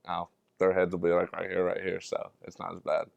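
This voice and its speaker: A man's voice: low voice, completely flat delivery, a little fast, creaky, no room sound. A young man, a college athlete, giving casual answers in an interview. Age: young adult. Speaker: college basketball player.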